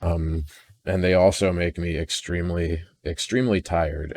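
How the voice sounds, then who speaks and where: Man, very deep pitch, weary introspective delivery, halting repeated words, unscripted solo audio log. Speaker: audio diarist.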